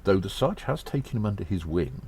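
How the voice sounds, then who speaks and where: A man playing an earnest undercover gnome agent in an audio drama, reporting in a measured, halting, formal and conspiratorial way. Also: deep voice, very animated, mellow, slight background noise. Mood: confiding, measured. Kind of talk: first-person audio drama monologue, spoken report.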